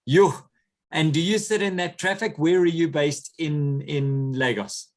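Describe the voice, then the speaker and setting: A man hosting a podcast who interviews his guests in an effusive, enthusiastic way with quick asides. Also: a little high. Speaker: podcast host.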